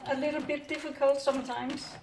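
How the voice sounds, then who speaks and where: A middle-aged Danish woman speaking English with a Danish accent, calmly narrating her work at home with many hesitant "uh" fillers. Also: sits high, brisk. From Denmark. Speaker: orchid grower. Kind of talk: casual hands-on narration. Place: home kitchen counter, indoors. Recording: roomy distant camera audio, faint noise.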